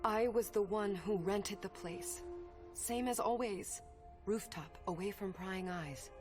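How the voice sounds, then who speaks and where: A nervous, deferential woman acted for a video game, defensive and frightened, at a middle pitch. Clear music plays behind her. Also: takes it slowly, natural voice. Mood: anxious, defensive. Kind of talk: dramatic video game cutscene acting.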